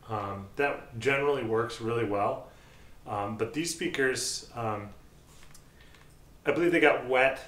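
A man's voice: medium pitch, fairly bright tone, slight background noise. A middle-aged man casually reviewing audio gear, thinking aloud with many ums and uhs, seated in a small room with speakers. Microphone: far camera on a tripod. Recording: roomy sound from a distant mic.

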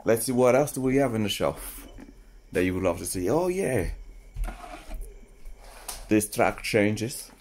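A man hosting a toy train video, narrating slowly and casually to young viewers while he handles wooden toys in a playroom. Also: fairly low pitch, expressive, a little mellow, slight background noise. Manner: slow, unhurried, talking to children viewers. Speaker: toy train channel host. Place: indoor playroom with shelves and toy train table.

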